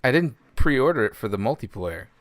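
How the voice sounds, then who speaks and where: A man's voice: mid-range voice, big pitch swings, fairly quick, no echo. A man co-hosting a podcast, talking casually and informally as he chats and presents items. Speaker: podcast co-host. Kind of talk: casual chat and gaming news segment.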